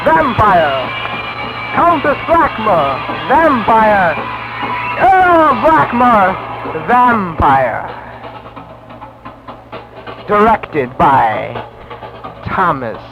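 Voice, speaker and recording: A man's voice: high-pitched, highs cut off. A man declaiming the titles and cast of a homemade horror film over music. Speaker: announcer of film credits. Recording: loud music over the announcing.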